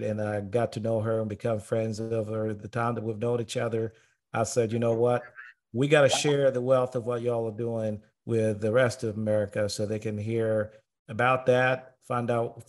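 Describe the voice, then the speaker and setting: Earnest man hosting a podcast. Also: medium pitch. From Tanzania. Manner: earnest, with frequent uh fillers. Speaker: podcast host. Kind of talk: podcast interview.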